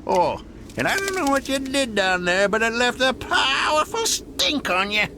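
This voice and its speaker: A man playing a cranky, possessive old gold prospector in a cartoon game, with a gravelly Old West drawl. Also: extremely high pitch, sing-song, normal speed, noisy background, full character voice. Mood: grudging. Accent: American Old West. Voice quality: creaky, gravelly. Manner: grumpy, drawling. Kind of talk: animated video game voice acting.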